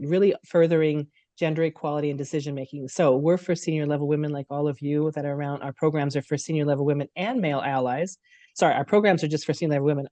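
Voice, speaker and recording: Woman with a cold, dark dry voice. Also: low voice, dry recording. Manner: conversational, speaks straight to the audience. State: has a cold. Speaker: CEO of a nonprofit. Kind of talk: webinar welcome talk. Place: her apartment. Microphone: video call.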